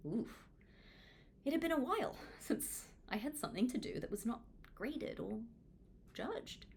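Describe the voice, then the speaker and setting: Expressive woman reading aloud, lively pitch. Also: measured pace, gravelly, a little hiss or hum. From Hong Kong. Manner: expressive, informal, self-deprecating. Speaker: author reading their own book aloud. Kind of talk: audiobook read-along with casual asides.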